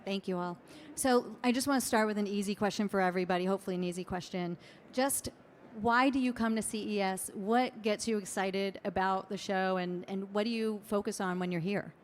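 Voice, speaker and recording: A woman's voice: medium pitch, steady tone, a little fast, a little hiss or hum. A woman moderating a conference panel, friendly and directive as she poses questions.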